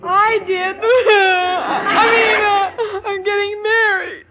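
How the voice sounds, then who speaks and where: Very high-voiced, dim-witted sweet young bride-to-be. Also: female voice, little pitch movement, extremely slow, a loud crowd, heavy background noise, exaggerated voice. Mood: tearful joy. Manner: earnest, guileless, literal-minded. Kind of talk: 1940s radio sitcom acting. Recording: dull 1940s radio recording, studio audience.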